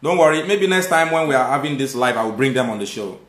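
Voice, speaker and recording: Fields. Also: male voice, mid-range voice, fast. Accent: Nigerian. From Nigeria. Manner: warm thanks to viewers, lively when making a point. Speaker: live stream host. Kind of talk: live stream chat reading viewer comments. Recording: echoey room.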